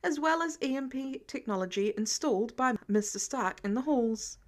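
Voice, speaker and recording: Higher-pitched woman reading fiction aloud. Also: lively intonation, normal speed. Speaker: podfic narrator.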